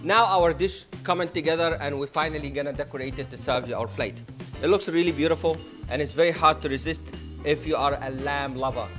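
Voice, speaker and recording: A man's voice: a little high, a little fast, a bit bright, soft background music. A man in his thirties hosting a cooking show in a studio kitchen, upbeat and playful as he talks straight to the camera on a lapel mic. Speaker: chef host. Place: home-style studio kitchen, indoors.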